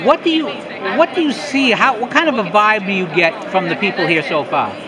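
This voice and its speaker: Man in his fifties, high-pitched, conversational interviewer, crowd chatter behind. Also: expressive, fairly quick. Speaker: blogger interviewer. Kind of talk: casual interview questions. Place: busy convention hall.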